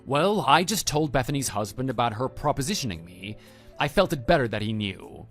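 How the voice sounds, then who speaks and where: A man voicing a stern, principled former schoolteacher in a scripted audio drama, speaking in a measured, matter-of-fact way. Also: medium pitch, very animated, fairly bright tone. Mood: firm, reproving. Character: stern, principled male former homeroom teacher, calm and reproving. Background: background music.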